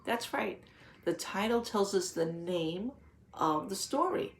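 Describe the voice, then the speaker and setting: A woman reads a picture book aloud as a teacher would to young children. Her pace is slow and her pitch sits in a middle range. She pauses to explain things simply and patiently, posing questions as she goes. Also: animated, slight background noise.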